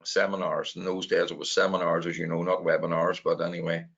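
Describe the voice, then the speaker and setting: A Northern Irish man in his fifties gives a webinar talk over a video call. His low voice is gravelly and a little croaky from a sore throat, and the audio sounds dull and lo-fi. He explains things patiently and often restarts his words. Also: flat delivery, a little fast, fairly bright tone. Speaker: trading mentor presenting. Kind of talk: webinar presentation.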